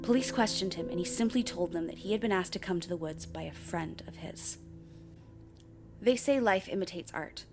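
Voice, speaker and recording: Woman acting a low-voiced, uneasy young investigator. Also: normal speed, music in the background, lightly acted. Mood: earnest, uneasy. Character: shaken but determined young woman investigating family haunting. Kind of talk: scripted first-person audio drama narration.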